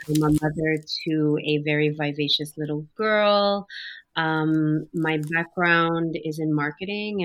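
A woman's voice: low voice, a bit bright. A woman from Montreal, a podcast guest, speaking reflectively in a casual interview, with frequent ums and self-corrections. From Montreal, Canada. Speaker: podcast guest, human design guide.

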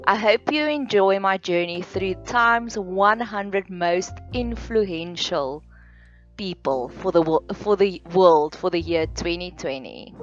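A woman from South Africa with an Afrikaans accent, hosting a solo podcast in a slow, earnest, deliberate way with many pauses. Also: fairly low pitch, lively intonation. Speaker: podcast host. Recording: dull lo-fi sound with faint music.

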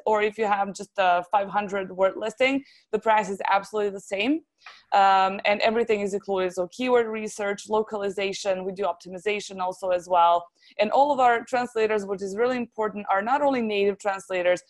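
A woman's voice: mid-range voice, fairly even intonation, fairly quick. Serbian-accented woman chatting informally. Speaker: interview guest, translation agency founder. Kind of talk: casual interview conversation.

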